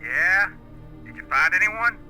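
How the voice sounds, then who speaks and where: An actor playing a cocky, foul-mouthed resistance lookout in a video game, flippant, sneering and slangy. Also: male voice, sits high, lively intonation, measured pace, bright, forceful tone, faint music behind, heavily acted, poor audio. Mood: snide. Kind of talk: video game drama acting.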